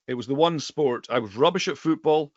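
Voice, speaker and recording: A middle-aged man from the Western Isles of Scotland, a native Gaelic speaker with a Highland accent, chatting conversationally as an interview guest. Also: fairly quick, dry recording, muffled recording. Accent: Scottish Highland. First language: Scottish Gaelic. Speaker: guest, bookseller and Gaelic teacher.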